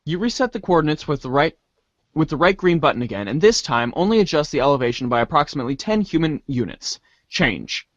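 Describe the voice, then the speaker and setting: Animated, higher-pitched man narrating theatrically. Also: quick pace, no room sound, muffled recording. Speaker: host and narrator of a reader's theater show. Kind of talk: dramatic narration of a comic adventure.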